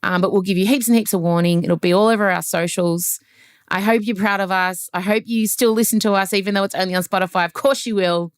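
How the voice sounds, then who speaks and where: An excitable woman hosts a podcast in a lively, gushing way, tossing in jokey asides. Her voice sits at a middling pitch and sounds dry and close, with no room around it. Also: fast talker, dry recording. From Australia. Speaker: podcast host. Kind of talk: casual podcast chat. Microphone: laptop setup.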